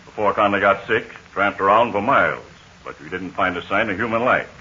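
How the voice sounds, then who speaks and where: An actor playing a weakened, recovering army major in a 1940s radio serial, speaking in a grave, measured way. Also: male voice, fairly low pitch, pushed and bright, husky, heavily acted. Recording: dull lo-fi 1940 radio transcription.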